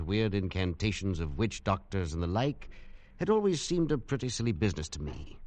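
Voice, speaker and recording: A man doing a worldly traveller in an old radio drama, who tells his eerie story in a measured, grave way. His voice sits quite low. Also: darkish tone, dry recording, close to an everyday voice. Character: man named Waterhouse, worldly traveller recounting a curse. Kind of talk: 1940s radio drama narration.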